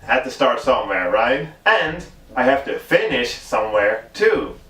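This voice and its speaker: A man from the Netherlands with a Dutch accent, giving casual, chatty film review commentary in a wry, expressive way. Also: medium pitch, measured pace. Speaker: video host reviewing horror films.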